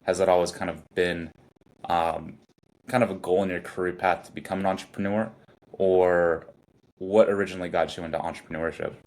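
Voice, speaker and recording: A man's voice: low voice, level tone, fairly quick, a little mellow. A man hosting a podcast interview, asking long questions padded with fillers. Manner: hesitant, filler-heavy questions. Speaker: podcast host. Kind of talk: casual interview.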